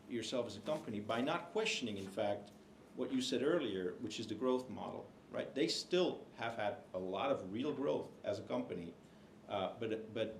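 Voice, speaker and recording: A man speaking as a co-author on a panel, hesitant, with many fillers and restarts. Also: mid-range voice. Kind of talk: panel discussion. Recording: echoey hall, faint noise.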